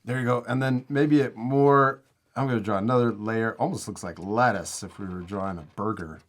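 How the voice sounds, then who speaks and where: A man's voice: lowish voice, brisk. A man hosting a casual step-by-step art tutorial, giving encouraging, simple instructions for children. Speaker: host teaching drawing to children.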